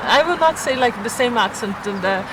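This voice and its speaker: A woman's voice: fairly high pitch, lively intonation, a little fast. A middle-aged woman from southern Brazil chats casually in hesitant English with a Brazilian accent, a native Portuguese speaker. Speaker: video blogger interviewing a friend. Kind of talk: casual chat. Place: outdoors in a city park. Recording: outdoor recording with urban noise.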